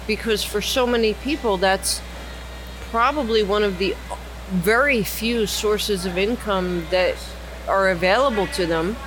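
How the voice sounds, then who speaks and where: A woman's voice: mid-range voice, a little slow. Woman, podcast host, earnest and persuasive, casual roundtable talk, noisy recording with traffic behind. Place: cigar lounge.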